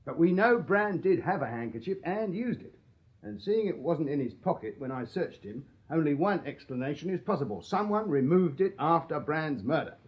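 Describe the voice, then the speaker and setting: Shrewd, high-voiced English police sergeant. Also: male voice, sing-song, lo-fi sound. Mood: pressing, accusing. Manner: methodical, deliberate, formally polite. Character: shrewd, deferential Victorian male police detective sergeant. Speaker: actor in a radio play. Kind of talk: British radio drama acting.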